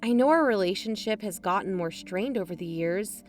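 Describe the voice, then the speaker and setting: Sincere, measured young woman, played by an actress. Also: medium pitch, lively intonation, music in the background, close to an everyday voice. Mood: hurt, reflective. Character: sincere, troubled young woman narrating her friends' investigation. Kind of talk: naturalistic audio drama dialogue and narration.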